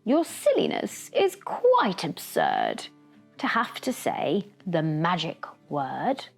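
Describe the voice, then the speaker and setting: A woman voicing a proud male dragon in a rhyming children's story, speaking grandly and deliberately. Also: fairly low pitch, wildly swooping pitch, creaky, faint music behind, exaggerated voice. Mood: scolding.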